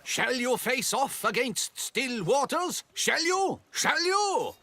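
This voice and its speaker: An actor playing a flamboyant tournament herald in a fantasy video game, grandiose and declamatory. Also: male voice, very high voice, sing-song, slow pace, pushed and bright, exaggerated voice. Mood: grand, ceremonious. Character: flamboyant male tourney herald addressing lords and ladies.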